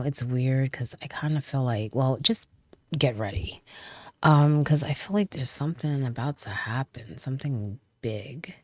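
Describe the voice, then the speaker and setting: A woman giving a casual tarot reading, chatty, with frequent fillers, trailing pauses and light laughs, and sounding stuffy from a cold. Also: rumbling low, darkish tone, no room sound. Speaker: tarot reader.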